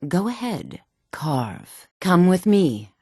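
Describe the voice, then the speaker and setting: An actress voicing a sultry, sly fantasy game woman with a smooth, deliberate, coaxing and quietly menacing delivery. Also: fairly low pitch, sing-song, dry recording, clean studio sound, full character voice. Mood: coaxing, predatory. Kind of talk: video game character dialogue.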